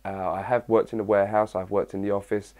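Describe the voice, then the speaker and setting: A young man with a husky early-morning voice, chatting casually to his viewers, answering their questions and rambling with lots of fillers. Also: on the low side, flat delivery, fast, a little mellow. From England. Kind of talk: casual chat to camera.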